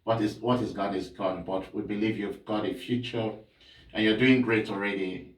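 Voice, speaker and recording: Low-voiced male interview host, echoey room. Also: steady tone, moderate pace. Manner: encouraging, frequent short acknowledgements. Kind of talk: casual interview. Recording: roomy sound, mic at a distance.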